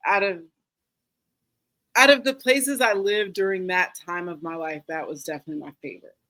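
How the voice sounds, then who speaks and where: A woman's voice: fairly low pitch, normal speed, pushed and bright. A woman with an American accent talking casually as an interview guest, informal and easygoing as she reminisces. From United States. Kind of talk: casual chat, personal recollection.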